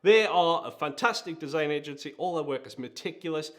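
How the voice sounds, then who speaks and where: A man's voice: a little high, fairly quick, fairly bright tone. A man with a Geordie accent hosting a countdown-style video, talking in an enthusiastic, emphatic way. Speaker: video host, design agency founder. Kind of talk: presenter-style vlog countdown.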